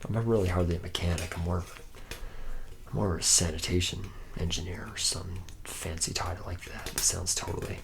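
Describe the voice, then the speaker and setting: A man's voice: low-pitched, fairly even intonation, moderate pace, vocal fry, background noise. A man playing a boozy, charismatic slacker robot mechanic in an ASMR roleplay, rambling in slangy, buddy-buddy talk to a trainee. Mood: casual, instructive. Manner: rambling, slangy, buddy-buddy, slightly self-deprecating. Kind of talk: improvised ASMR roleplay, addressing the listener as a trainee.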